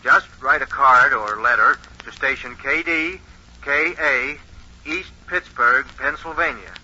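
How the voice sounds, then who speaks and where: An actor playing an earnest 1920s radio announcer in a radio drama, reading out figures with deliberate emphasis. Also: male voice, mid-range voice, sharp tone. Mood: solemn, formal. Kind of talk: 1940s radio drama acting, newsreader delivery. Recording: muffled vintage 1940s radio recording.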